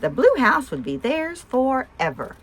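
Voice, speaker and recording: A woman reading a picture book aloud for young children with expression, pausing to ask the listeners simple questions. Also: high voice, big pitch swings. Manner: asks listeners simple questions between story lines. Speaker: storyteller and host of a read-aloud show.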